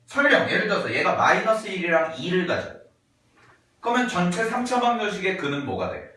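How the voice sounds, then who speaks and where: Hoarse male teacher in an echoey classroom. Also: high-pitched, a little fast. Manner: lively, checks in with students often. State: voice going hoarse. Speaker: math teacher. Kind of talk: classroom math lecture. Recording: echoey classroom, mic far off, noisy.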